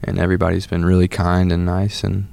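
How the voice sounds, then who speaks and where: A man's voice: low-pitched, completely flat delivery, fairly quick, vocal fry, a little mellow, clean tone. A man in his twenties from Atlanta, a musician guest chatting loosely with lots of filler words. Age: 20s. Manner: laid-back, lots of "like" and "um". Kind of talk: casual interview chat.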